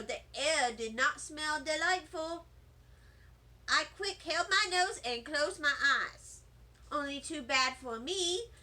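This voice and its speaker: A woman reading a children's storybook as an excitable, dramatic little first-grade girl, with childlike grammar and emphatic delivery. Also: sits high, full character voice. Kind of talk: children's storybook read-aloud.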